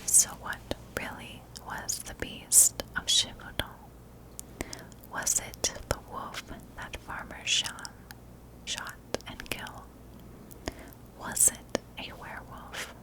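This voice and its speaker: A woman tells a story in a hushed, breathy whisper in the ASMR style. Her delivery is very unhurried, with measured, deliberate phrasing. The voice stays soft and unvoiced throughout. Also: low-quality recording. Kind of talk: whispered ASMR narration of a historical mystery.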